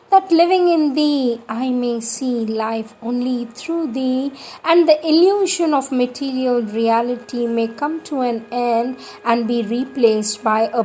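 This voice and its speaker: A woman reading devotional prayers aloud in a measured, reverent and prayerful manner, as for an audiobook. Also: on the high side, darkish tone, a little hiss or hum.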